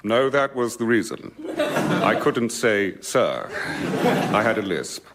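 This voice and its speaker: An actor playing a solemn, deferential butler in a radio comedy sketch, speaking formally and with laboured care. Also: male voice, on the low side, expressive, slow, very noisy. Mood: grave, deferential. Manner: formal, laboured, over-careful delivery. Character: solemn, deferential male butler in a murder-mystery parody.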